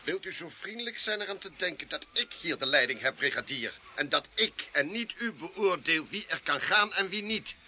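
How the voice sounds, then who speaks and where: An actor playing a stiff, indignant police inspector who pulls rank pompously in a radio play. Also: male voice, fairly high pitch, animated, normal speed, hardly any pauses, sharp tone. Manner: pompous, clipped formality.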